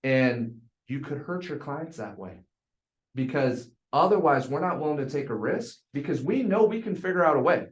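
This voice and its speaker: A man's voice: fairly high pitch, expressive, normal speed. A man giving a coaching talk to a group, speaking bluntly and emphatically and repeating phrases for emphasis. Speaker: real estate sales coach. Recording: echoey room.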